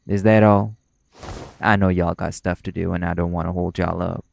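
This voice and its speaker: Male actor as werewolf pack leader for audio roleplay: low, creaky voice, folksy, stonewalling, defiant. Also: level tone, normal speed, slight background noise. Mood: defiant, curt. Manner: folksy, stonewalling, stiffly polite.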